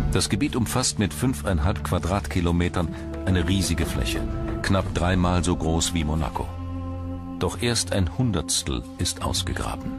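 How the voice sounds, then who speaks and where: Very deep-voiced male documentary narrator, over music.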